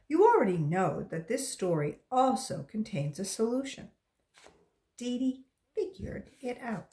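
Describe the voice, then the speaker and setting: A woman reading a storybook aloud to children as a volunteer, in an expressive narrating style. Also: medium pitch.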